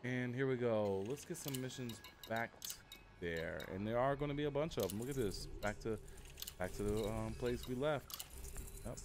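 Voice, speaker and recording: A man's voice: mid-range voice, moderate pace. A man giving casual, rambling, self-deprecating live commentary as he streams a game. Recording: clear background noise.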